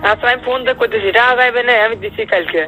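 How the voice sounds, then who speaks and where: A man's voice: extremely high pitch, rapid-fire, bright, forceful tone. A man with a Bangladeshi accent in a casual phone conversation, exasperated, repeating himself and swearing. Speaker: son on a phone call. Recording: phone call recording, faint music behind.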